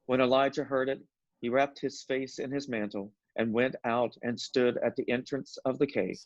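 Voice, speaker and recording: A man's voice: medium pitch, fairly even intonation, normal speed, dry recording, lo-fi sound. A man narrating a scripture story as a scripted reading.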